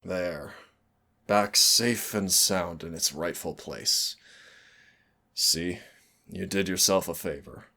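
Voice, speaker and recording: Deep, slow-talking teasing demon played by a man. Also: vocal fry, pushed and bright, exaggerated voice. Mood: dry, scolding. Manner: sardonic, teasing, drawling. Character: cocky, teasing male demon, exasperated but fond mentor. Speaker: voice actor in audio roleplay. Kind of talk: scripted roleplay audio acting.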